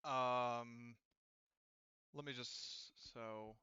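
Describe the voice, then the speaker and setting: A man acting as game master for a tabletop roleplaying game. His voice is bright and clean, and he works through rules aloud with stops and starts. The sound is close and dry, if slightly dull. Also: mid-range voice, steady tone, clean tone, no room sound. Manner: halting, thinking aloud while checking rules. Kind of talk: running a tabletop roleplaying game, casual improvised explanation.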